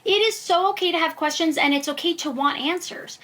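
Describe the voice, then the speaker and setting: Female medical expert for podcast interview: very high, forceful bright voice, emphatic and reassuring explainer. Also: brisk. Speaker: guest expert, preventive cardiologist. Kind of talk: conversational podcast interview answers.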